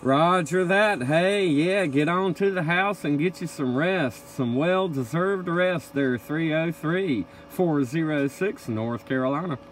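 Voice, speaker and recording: A man's voice: a little high, unhurried, few pauses. A man operating a CB radio, calling out and answering contacts in short radio phrases. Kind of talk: CB radio calls. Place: indoor radio room. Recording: noisy room with radio static.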